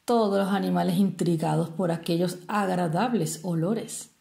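A woman from Venezuela with a Venezuelan Spanish accent, telling a traditional tale expressively and explaining things as she goes, with deliberate pauses. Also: on the low side, creaky, warm tone. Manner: didactic, explains terms with rhetorical questions and pauses. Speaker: storyteller.

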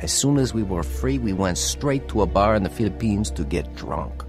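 A man's voice: fairly low pitch, animated, normal speed, close to an everyday voice. An actor playing a rebellious, hot-tempered young sailor telling his life story in a radio drama. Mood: reflective narration. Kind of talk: dramatized radio testimony, narration and dialogue. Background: music.

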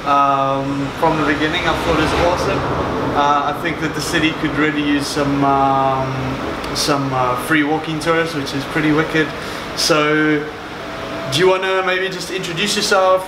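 A man in his thirties hosting a podcast in a coffee shop, relaxed and casual with lots of fillers. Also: a little high, measured pace. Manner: laid-back, relaxed with fillers. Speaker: podcast host. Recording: echoey cafe with background hum.

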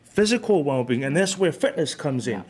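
A fairly high-voiced man with a Samoan accent, in his thirties, speaking earnestly into a desk mic. He talks in a small indoor studio with a lively, gesturing delivery. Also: normal speed, breathy edge. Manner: earnest, animated hand gestures. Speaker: guest, health show host. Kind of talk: casual studio chat. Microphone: headset with desk mic.

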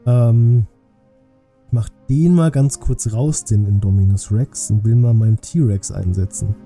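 A man's voice: fairly low pitch, a little slow, dark tone. A man commentating a game on a live stream, talking colloquially and thinking aloud in a casual way. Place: small indoor home streaming room. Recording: music behind the voice.

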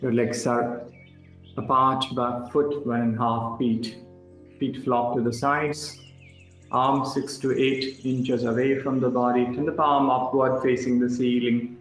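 Slow-paced man guiding relaxation. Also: mid-range voice. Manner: calm, instructional, short phrases with pauses. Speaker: yoga instructor. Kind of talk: guided relaxation meditation. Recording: roomy echo with music underneath.

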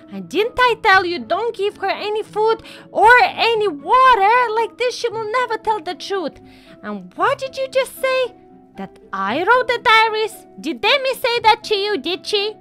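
Very high voice, swooping intonation, woman playing manipulative mother, emphatic, amateur role-play drama, music underneath. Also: sing-song, moderate pace. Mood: indignant, wounded. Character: scheming, guilt-tripping mother hiding her crime.

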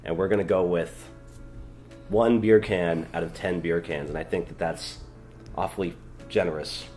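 A man's voice: sits low, steady tone, moderate pace, darkish tone, faint music behind. A man in his twenties co-hosting a film review show, chatting casually in a small living room.

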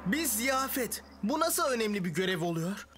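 A woman plays a proud young samurai from a cartoon. The delivery is stern and indignant, with lively pitch over loud music. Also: moderate pace, natural voice. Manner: earnest, indignant. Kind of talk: cartoon dub acting.

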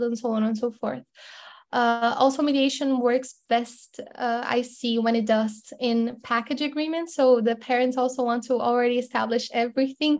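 A woman speaks over a laptop video call, explaining legal matters thoughtfully. Her tone sits a touch high but stays notably flat, with little rise and fall. She often restarts phrases and pauses on fillers as she works through her points. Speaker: webinar panelist, lawyer. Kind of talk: expert webinar talk. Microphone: laptop mic on video call.

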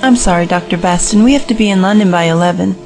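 A woman's voice: lowish voice, fast talker, darkish tone, soft background music, natural voice. A woman playing a practical, open-minded business investor in an audio drama, polite and reasonable. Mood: wry, firm.

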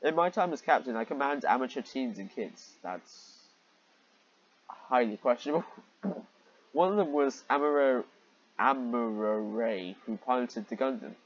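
A man reading fan fiction aloud with sarcastic, exasperated asides, often clearing his throat. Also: medium pitch. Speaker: host and reader of fan fiction. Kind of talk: read-aloud of fan fiction with sardonic commentary. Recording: dull, muffled lo-fi microphone.

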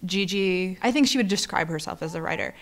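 A woman's voice: on the low side, lively intonation, a little fast. A woman in her thirties talking in a documentary interview as a staff member of a program. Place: indoors, furnished room.

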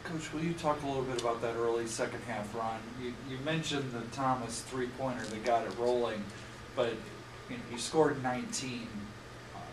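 A man reporting on sports, asking long, measured questions at a press conference. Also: medium pitch, steady tone. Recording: echoey room, distant mic, noisy.

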